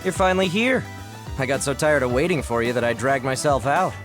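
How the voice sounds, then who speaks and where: A man's voice: medium pitch, expressive, brisk, over music, close to an everyday voice. A man playing a lazy young military operator in an anime-style video game, casual and weary. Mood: bored, grumbling.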